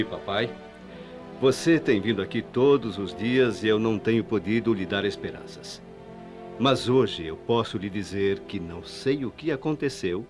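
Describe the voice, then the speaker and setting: Man as kindly doctor, lowish voice, earnest and emphatic, radio drama, music behind. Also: lightly acted. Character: amazed, kindly hospital doctor reassuring a parent.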